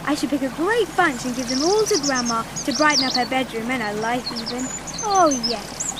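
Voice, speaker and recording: A woman's voice: high voice, animated, normal speed, heavy background noise. An actress playing a sweet, naive fairy-tale girl in a comic radio play, prim and storybook-polite. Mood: gushing, sweet.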